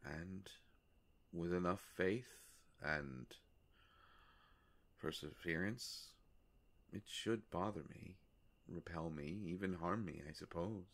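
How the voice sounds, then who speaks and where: A man performs an immortal male vampire with a deep voice full of gravelly fry. He talks intimately to one listener in a roleplay audio, polite and wryly musing. His delivery is very unhurried and halting, with long pauses. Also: full character voice. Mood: wry, explaining. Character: courteous, world-weary immortal male vampire, gently wry.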